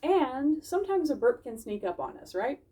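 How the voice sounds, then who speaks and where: A woman's voice: high-pitched, sing-song, moderate pace, dark tone, recorded from a distance. A woman reading a children's story aloud, explaining it simply and putting questions to the listeners. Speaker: library storytime reader. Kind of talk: animated children's storybook read-aloud with asides.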